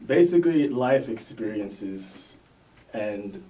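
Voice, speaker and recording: A young man talks easily about his music, loose and unhurried, with plenty of fillers. His voice is mellow and soft-edged, and it sits a little back from the mic. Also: on the low side, lively intonation, far from the mic, poor audio. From Canada. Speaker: guest rapper. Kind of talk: casual interview chat.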